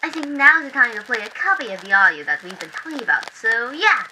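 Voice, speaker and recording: A woman playing a composed radio host in a scripted broadcast interview, formal and measured as she prompts her guest. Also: on the high side, very animated, background noise. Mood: poised, curious.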